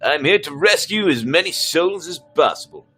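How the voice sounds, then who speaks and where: A man's voice: fairly high pitch, expressive, normal speed, sharp tone, faint music behind. A man performing bold, stubborn starship crew in a sci-fi dramatic reading, emphatic and melodramatic. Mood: defiant.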